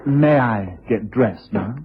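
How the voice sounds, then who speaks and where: An actor playing a cool, self-assured gentleman crook in a vintage British radio drama, with an upper-class English accent and smoothly condescending phrasing. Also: fairly low pitch, slow. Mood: indignant, ironic. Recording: muffled old radio broadcast with hiss.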